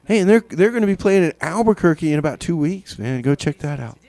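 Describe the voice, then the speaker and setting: A man's voice: fairly high pitch, animated, fast, a little mellow, no echo. A man hosting a music radio show with casual, hesitant, tongue-in-cheek patter, often repeating phrases for effect. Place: radio studio.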